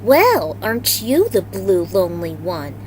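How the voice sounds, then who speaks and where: A woman's voice: mid-range voice, very animated, unhurried, slight background noise, low-quality recording. A woman voicing a sarcastic, brash female demon warrior with a venomous, taunting manner in a fantasy story. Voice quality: alto.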